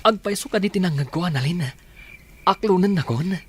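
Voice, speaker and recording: A man's voice: sits high, lively intonation, fairly quick, a little hiss or hum. A man playing an eager, tender young man in love in an emotive, pleading radio drama style. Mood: overjoyed. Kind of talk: Ilocano radio drama acting. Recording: radio drama with music underneath.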